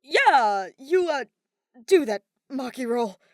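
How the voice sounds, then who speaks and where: A brash young man, played by a woman in a fan fiction reading. He blurts and stammers, loud and rattled, his pitch pushed high in a dry recording. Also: sing-song, slow, dry recording, exaggerated voice. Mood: anxious urging.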